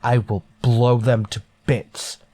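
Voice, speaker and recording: An actor playing a stern, resolute starship captain in a sci-fi radio drama, speaking with clipped authority. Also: male voice, medium pitch, level tone, slow pace, warm tone, no room sound. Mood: stern, grave. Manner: authoritative, clipped, reasoning aloud. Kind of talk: golden age sci-fi radio drama acting.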